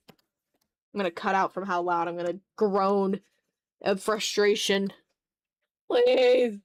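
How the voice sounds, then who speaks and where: A woman chatting casually on a live art stream as she draws, playful and a bit dramatic, with offhand swearing. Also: on the high side. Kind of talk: casual live-stream chat while drawing.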